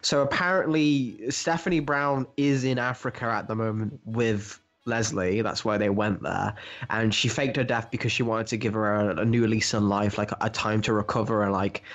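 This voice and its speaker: A man's voice: fairly low pitch, fairly even intonation, moderate pace, a bit bright. A man co-hosting a casual comic book discussion, chatty, acting out quotes and swearing. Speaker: podcast co-host. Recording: slightly dull, lo-fi audio.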